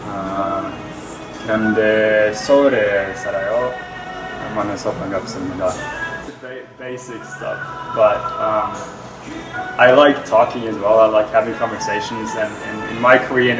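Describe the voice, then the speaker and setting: Man from Norway, native Norwegian speaker, easygoing interview talk, music bed underneath, roomy. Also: fairly low pitch. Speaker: interview guest, actor. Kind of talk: casual interview answers.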